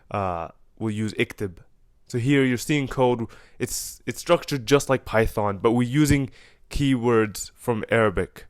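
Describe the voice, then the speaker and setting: A man's voice: mid-range voice, clear, resonant tone, no echo. Dry-sounding young man with an Egyptian accent. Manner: relaxed, with fillers and self-corrections. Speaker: programmer explaining his project. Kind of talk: casual coding walkthrough.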